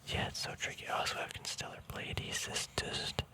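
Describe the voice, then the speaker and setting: A man speaking in a breathy, unvoiced whisper, rambling casually in ASMR style as if thinking aloud. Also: normal speed, pushed and bright. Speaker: ASMR video creator. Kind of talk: ASMR, casual rambling commentary on a card deck.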